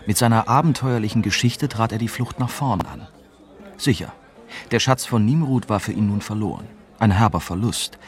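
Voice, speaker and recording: An actor playing a young German journalist, narrating a radio drama in a reflective, bitter way. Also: male voice, on the low side, a little mellow, close to an everyday voice. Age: young adult.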